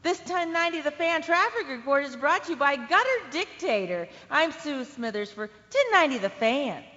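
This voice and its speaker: A woman's voice: high-pitched, lively intonation, normal speed, bright, forceful tone. A woman playing an uneasy radio traffic reporter in an audio drama, urgent and emphatic with conversational asides. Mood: brisk. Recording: dull lo-fi, long reverb, music underneath.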